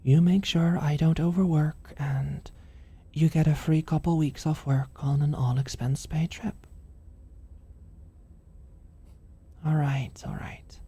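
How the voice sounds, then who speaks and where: An actor playing a teasing, caring British office manager in an intimate ASMR roleplay, speaking softly and breathily with a dry manner. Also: mid-range voice, steady tone, a little slow. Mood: dry, softly relenting. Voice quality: soft, breathy, often near-whispered. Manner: dry, gently teasing, solicitous.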